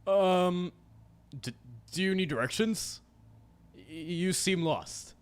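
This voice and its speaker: A man's voice: sits high, slow, voice pushed up. A man voicing a stammering frightened young woman. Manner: stammering, shaky.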